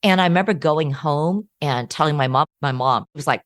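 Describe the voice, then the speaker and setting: A middle-aged woman from the United States, a guest telling family stories in an interview, with lively acted quotes. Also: low-pitched, normal speed, no room sound, studio quality. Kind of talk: interview storytelling.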